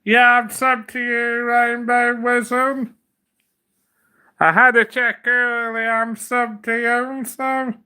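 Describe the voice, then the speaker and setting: A man's voice: extremely high pitch, little pitch movement, takes it slowly, sharp tone, clear, resonant tone. A man hosting a casual livestream, rambling and repeating himself, with a slight cough from a cold. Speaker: livestream host.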